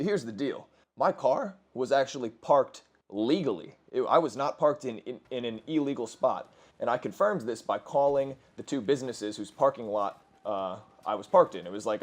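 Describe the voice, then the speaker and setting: A man in his twenties telling crude, rambling stories with lots of swearing and self-corrections over gameplay. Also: mid-range voice. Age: 20s. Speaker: gaming commentator. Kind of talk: casual storytelling over gameplay.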